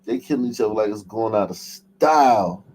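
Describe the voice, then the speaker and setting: A man with a low, mellow voice commenting casually on the news. His talk is blunt, slangy and full of swearing, with noticeable noise behind him. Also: moderate pace, rough recording. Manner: blunt, profane, street slang. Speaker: show host.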